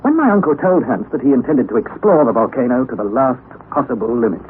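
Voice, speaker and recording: A young man narrating his adventure in first person for a 1960s radio drama, measured and careful. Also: mid-range voice, animated. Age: young adult. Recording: tinny old radio broadcast with hiss.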